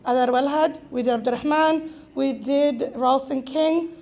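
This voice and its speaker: A woman emceeing a gala and calling raffle numbers, with a raspy voice and a playful, teasing manner. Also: high-pitched, normal speed, a little mellow. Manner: playful, teasing, jokey asides. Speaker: event host calling raffle draws. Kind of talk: live emcee banter at a gala.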